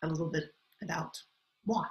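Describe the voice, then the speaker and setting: A middle-aged American woman from Los Angeles, answering interview questions in an articulate, measured way. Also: sits low, steady tone, gravelly. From Los Angeles, USA. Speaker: guest. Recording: roomy echo.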